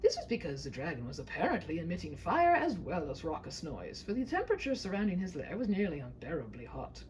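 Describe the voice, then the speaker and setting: A woman reads a comic fairy tale aloud as storyteller. Her pitch moves animatedly and her delivery is theatrical and mock-grand, over faint background noise. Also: big pitch swings, fast talker, a little mellow, low-quality recording. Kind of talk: humorous scripted story narration.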